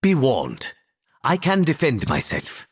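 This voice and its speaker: An actor playing a solemn adventurer in a fantasy game. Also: male voice, medium pitch, huge pitch swings, takes it slowly, slight background noise, exaggerated voice. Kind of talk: dramatic fantasy game voice acting.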